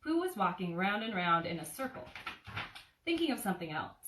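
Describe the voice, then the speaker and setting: A woman with a lively, swinging pitch presents and reads a children's bedtime story. Her style is informal storytelling, heard in an echoey indoor room a little way from the microphone. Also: a little fast, far from the mic, a little hiss or hum. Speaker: bookseller reading a bedtime story. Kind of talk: casual introduction and storybook narration. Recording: roomy, a little off the mic.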